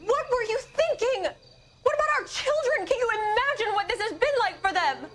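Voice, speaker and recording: A woman's voice: high voice, expressive, normal speed, a bit bright. A woman playing a hurt, angry wife and mother who has been betrayed, in a dramatic video game.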